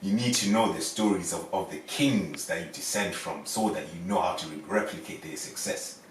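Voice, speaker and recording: A man talking earnestly in a casual podcast discussion, often adding "you see" and growing loud when challenged. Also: deep voice, normal speed. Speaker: podcast panelist. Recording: roomy, distant mic, faint noise.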